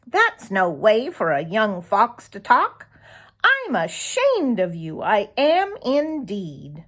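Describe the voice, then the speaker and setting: An aging granny fox from a children's storybook, played by a woman reader. She is sharp yet kindly, a little weary, and talks slowly in folksy phrases. Her pitch rises and falls freely. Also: wildly swooping pitch, exaggerated voice. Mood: sharp, scolding. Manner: gently scolding, folksy, old-fashioned phrasing.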